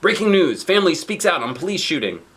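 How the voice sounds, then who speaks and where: A man's voice: a little high, big pitch swings, fast, a bit bright, slight background noise, pitched above the performer's own voice. A man voicing a formal, emphatic television newsreader giving a bulletin within a picture book. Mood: urgent, formal. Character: television newsreader delivering breaking news, male or female.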